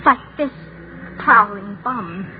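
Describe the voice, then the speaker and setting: Fretful lonely spinster, self-arguing inner monologue, 1940s radio drama, noisy narrow-band recording. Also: female voice, mid-range voice, lively intonation, takes it slowly. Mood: flustered, indignant. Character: anxious, lonely spinster's inner voice, torn by guilt.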